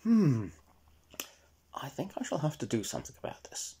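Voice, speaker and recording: Man doing a polite, breathy little elf. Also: medium pitch, sing-song, normal speed, dark tone. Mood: dismayed, musing. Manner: tentative, courteous, muttering to himself. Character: polite, curious, earnest male garden elf.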